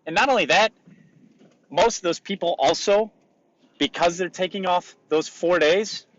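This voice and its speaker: A man hosting a solo motivational podcast, raspy today, talking in an emphatic, repetitive coaching way. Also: on the high side, a bit bright, lo-fi sound. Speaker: podcast host.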